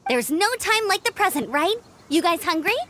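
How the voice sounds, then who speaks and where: A woman's voice: very high voice, lively intonation, normal speed, very noisy. An actress playing a cheerful, chatty young female knight in a game. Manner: bubbly, chatty.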